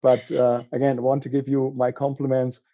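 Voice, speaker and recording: A man with a German accent, a guest on a podcast, talking in a halting way with many fillers and restarts. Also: medium pitch, completely flat delivery, dark tone, dull audio. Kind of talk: conversational interview.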